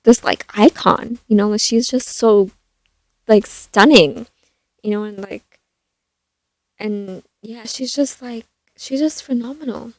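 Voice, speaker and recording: A young woman with a soft voice chatting casually about a film, rambling with lots of fillers and restarts. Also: a little high, takes it slowly, pauses often, no room sound. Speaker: vlogger reviewing a film. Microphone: stand mic.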